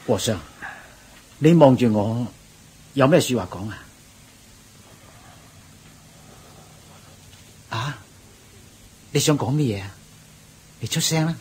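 An actor playing a patient, deferential Buddhist monk who explains things gently and in a measured way in a radio drama. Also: male voice, mid-range voice, animated, husky. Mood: gentle concern. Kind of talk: Cantonese radio drama acting.

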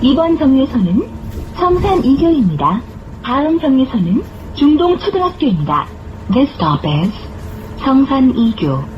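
A woman reading scripted bus stop announcements in a measured, formal manner. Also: high voice, very animated. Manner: measured, formal, clearly phrased. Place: played over speakers inside a moving bus. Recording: muffled recording with clear vehicle noise. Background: bus engine and road noise.

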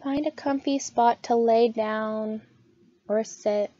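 A woman leads a guided meditation in a fairly high, mellow voice. She reads slowly and gently, with a soothing, unhurried delivery. The recording is dry and slightly dull. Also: fairly even intonation.

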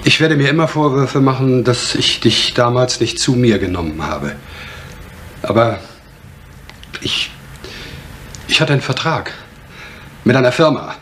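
An actor playing a reserved, bitter divorced father in a vintage German crime drama, speaking in a measured way with deliberate pauses. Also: mid-range voice, animated, a bit bright. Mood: regretful. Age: middle-aged. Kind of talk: 1970s German television crime drama acting. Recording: faintly noisy vintage drama soundtrack.

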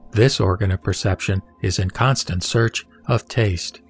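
A man giving a solemn, measured recitation of scripture in the manner of a scripted narration. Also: lowish voice, creaky. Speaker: sutra reciter. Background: background music.

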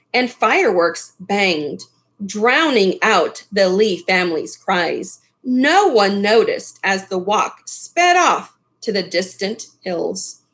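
A middle-aged woman reading a picture book aloud expressively, in an unhurried way, with casual asides to young listeners. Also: medium pitch, a bit bright. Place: small furnished room at home, indoors. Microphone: webcam at desk distance.

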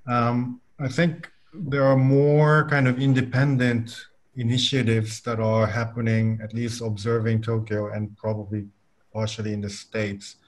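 A man's voice: mid-range voice, level tone, unhurried, poor audio. A man in his thirties talking reflectively and hesitantly on a panel video call from a room indoors. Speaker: architect, panelist. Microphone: video call, close to laptop.

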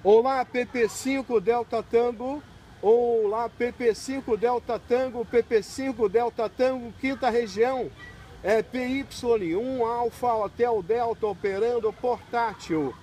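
A man's voice: very high voice, slow, mellow. A man, operating amateur radio in a courteous way and calling out spelled callsigns. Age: 60s. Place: outdoors in a park by a pool area. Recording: noisy outdoor recording with radio hiss.

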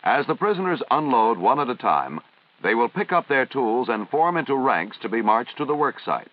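An American man reading formal, measured, instructional narration in the style of a 1950s military training film. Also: medium pitch, very animated. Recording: dull, band-limited vintage film soundtrack.

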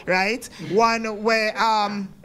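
A Jamaican woman hosting a radio talk show from a small studio. She talks in a chatty way and breaks in to steer the discussion. Her voice comes through close on a boom mic. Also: level tone, extremely slow, fairly bright tone.

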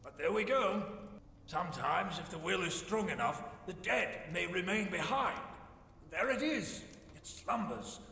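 A man voicing a playful, cranky old ghost grandfather in a video game, with a creaky elderly voice and theatrical, teasing talk. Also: sits high, lively intonation, measured pace, bright, forceful tone, slight background noise, heavily acted. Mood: musing. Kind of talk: video game character acting. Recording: reverberant hall echo.

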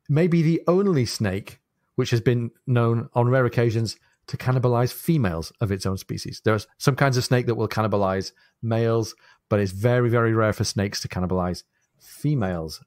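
Measured male podcast co-host. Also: medium pitch, a little mellow. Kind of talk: casual podcast discussion.

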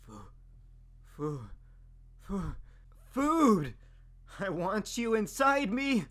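A woman's voice: mid-range voice, sing-song, extremely slow. A woman voicing a whiny, childish, hungry young male cartoon hedgehog in an amateur comic dub, with music behind. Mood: desperate, excited. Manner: whiny, pleading, exclaiming. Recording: background music under the voice.

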